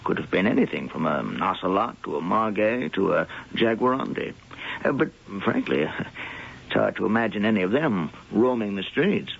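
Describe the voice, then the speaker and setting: An actor playing a dry, wry museum zoologist in a vintage radio drama, heard on a muffled old broadcast. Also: male voice, lowish voice, animated, normal speed, creaky, a bit bright, husky, full character voice. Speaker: zoologist professor. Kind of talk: 1970s radio drama acting.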